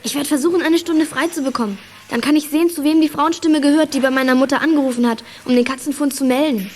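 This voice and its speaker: Young woman as high-voiced, eager schoolgirl. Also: steady tone, fast, a little hiss or hum, lightly acted. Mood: earnest. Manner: eager, earnest, polite. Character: eager schoolgirl who adores her lost cat. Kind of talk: German children's radio play acting.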